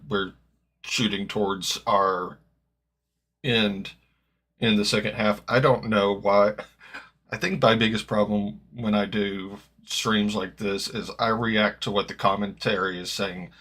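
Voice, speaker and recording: A man with a slightly gravelly voice, chatting casually as he reacts live to a football match, rambling and correcting himself as he goes. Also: lowish voice, pushed and bright. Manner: rambling, self-correcting, hopeful fan. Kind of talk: casual live-stream chat.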